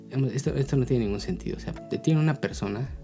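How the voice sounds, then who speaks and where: A man's voice: mid-range voice, quick pace, vocal fry, mellow, soft background music. A man with a Mexican accent giving a casual, explanatory opinion talk as a video blogger, often checking in with tag questions.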